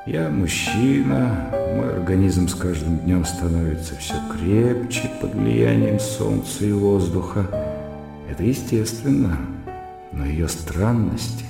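An educated young gentleman brooding over his own thoughts in a radio play. His low, gravelly voice reasons in bookish, self-questioning phrases. It rings in a long hall reverb with music underneath. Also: lively intonation, takes it slowly, exaggerated voice. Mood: self-justifying. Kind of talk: radio drama acting, interior monologue.

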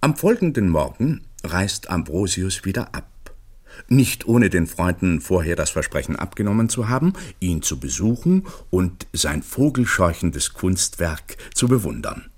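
A man narrating a literary radio play in a measured, wry storytelling manner, with a husky voice. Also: deep voice, sing-song, a little mellow, heavily acted.

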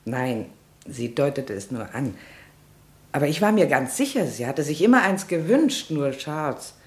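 An earnest witness being questioned in a crime radio play. The voice moves in lively swings of pitch, giving emphatic, confiding answers. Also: male voice, a little slow. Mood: certain, emphatic. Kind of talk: German crime radio drama acting.

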